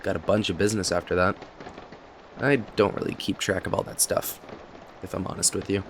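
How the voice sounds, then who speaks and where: A man's voice: low voice, fairly quick, creaky. A man acting a talkative, kindly cafe owner in an ASMR roleplay, chatting to the listener in a friendly, hesitant, apologetic ramble. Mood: chatty, upbeat. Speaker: voice actor in an audio roleplay. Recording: steady rain noise behind voice. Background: rain and cafe ambience.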